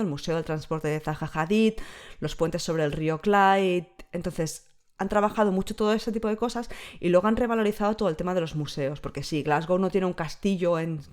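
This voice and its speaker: A woman in her thirties, a travel blogger guest, chatting casually and with emphasis. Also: lowish voice. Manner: lively, emphatic, talks at length.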